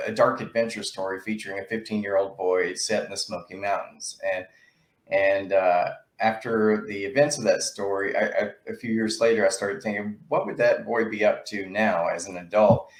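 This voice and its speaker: Man, low voice, hesitant, soft-spoken, echoey room. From Tennessee, USA. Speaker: author guest. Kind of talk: interview answers.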